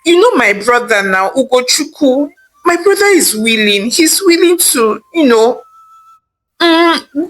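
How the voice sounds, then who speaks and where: A woman's voice: high voice, a bit bright, pitched above the performer's own voice, full character voice, rough recording. A woman voicing an anxious young husband who pleads with his wife and repeats himself.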